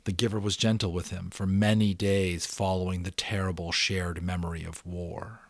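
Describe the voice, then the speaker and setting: A middle-aged man giving a dramatic reading of a novel, with deliberate emphasis and casual asides to his listeners. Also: low voice, little pitch movement, dry recording. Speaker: audiobook reader and channel host.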